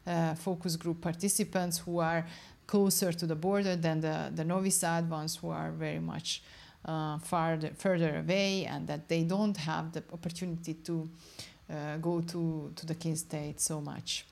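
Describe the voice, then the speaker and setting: A young woman with a low voice roughened by vocal fry answers interview questions about her research. She explains carefully and often pauses to search for the right word. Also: flat delivery, darkish tone.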